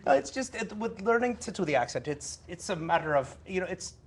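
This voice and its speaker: A man's voice: high voice, lively intonation, brisk. A man, a stage actor, chatting playfully and expressively in an interview with a put-on Irish accent. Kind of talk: improvised casual interview. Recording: clear background noise, live stream.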